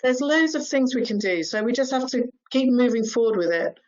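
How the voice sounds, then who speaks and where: A woman's voice: fairly high pitch, expressive, brisk, a little mellow, lo-fi sound. A woman, talking chattily as a panel speaker, full of fillers and asking "right?". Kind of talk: webinar panel discussion.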